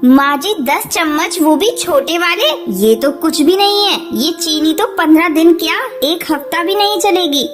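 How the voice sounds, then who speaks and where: A young cartoon daughter-in-law bird, voiced by a woman, very high in pitch. She sounds put-upon, meek and respectful while she grumbles, with faint music behind. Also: normal speed, few pauses. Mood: meek, protesting.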